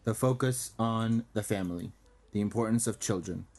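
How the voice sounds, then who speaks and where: A man whose first language is Armenian, a guest on a talk show, explaining his diplomatic work in a fluent, steady manner with frequent ums and uhs. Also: on the low side, moderate pace. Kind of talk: casual interview talk.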